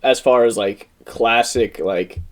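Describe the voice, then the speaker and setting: A young American man telling stories in a rambling, animated, swearing way as a podcast co-host in casual chat. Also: mid-range voice, takes it slowly. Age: young adult. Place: in person with co-hosts.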